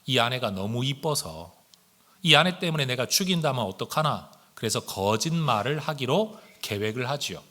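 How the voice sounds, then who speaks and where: A man's voice: medium pitch, lively intonation, normal speed, bright, forceful tone. A male pastor preaching a Sunday sermon, speaking earnestly and addressing the congregation with rhetorical questions. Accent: standard Korean, with regional intonation slipping in when speaking fast.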